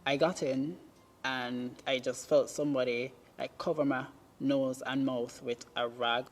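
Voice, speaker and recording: A person with a Jamaican accent giving a personal testimony in halting, deliberate short phrases. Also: mid-range voice, little pitch movement. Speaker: documentary subject telling own story. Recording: music playing under the voice. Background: music.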